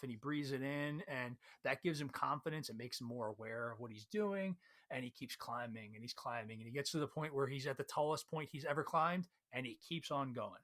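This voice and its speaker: A man giving a casual, conversational recap of a story as a read-along host, retelling it in an animated way. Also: mid-range voice.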